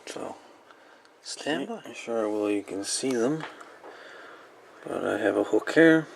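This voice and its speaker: A man giving a casual hands-on repair walkthrough in a halting, folksy way with colloquial grammar. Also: fairly low pitch, lively intonation, vocal fry, slight background noise. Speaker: repair video host. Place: indoor electronics workbench.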